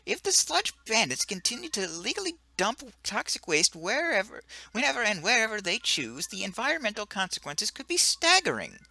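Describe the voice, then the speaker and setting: A man voicing a high-pitched, indignant female alien ambassador in a comic game dub, insistent and haughty. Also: huge pitch swings, brisk, vocal fry, few pauses, pushed and bright, no echo, heavily acted, poor audio. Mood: urgent.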